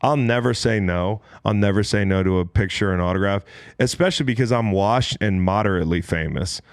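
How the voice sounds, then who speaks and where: An American man of about forty with a fairly low voice, recorded close and dry. He talks like a podcast host among friends, blunt and sweary, telling stories in loose casual banter. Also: a little fast, dry recording. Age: around 40.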